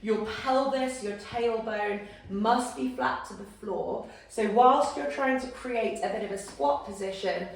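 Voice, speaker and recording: A woman guiding a posture exercise routine step by step, in a calm, instructive way. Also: mid-range voice, unhurried, creaky, few pauses, recorded from a distance. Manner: calm step-by-step cueing, counting down. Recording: very echoey room, voice from off-mic.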